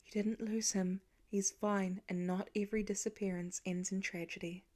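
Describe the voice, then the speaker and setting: A woman's voice: medium pitch, little pitch movement, normal speed, mellow, close to an everyday voice. Woman reading as a protective, loving father. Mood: soothing. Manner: soothing, earnest parental talk. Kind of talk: audiobook character acting.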